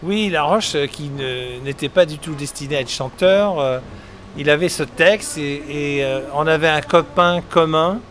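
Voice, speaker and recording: A middle-aged man from England, a musician, answering interview questions in a relaxed, rambling way with many hesitations. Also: fairly high pitch, moderate pace. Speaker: musician guest. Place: outdoors at a summer concert event. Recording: outdoor street noise behind. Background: traffic and street ambience.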